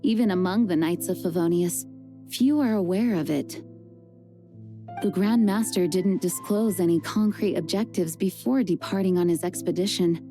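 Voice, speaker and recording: An actress playing a composed, dutiful adult woman knight who leads, speaking in a measured, earnest and formal way. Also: on the low side, dark tone, natural voice. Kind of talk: video game voice acting. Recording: game music under the voice. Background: game music.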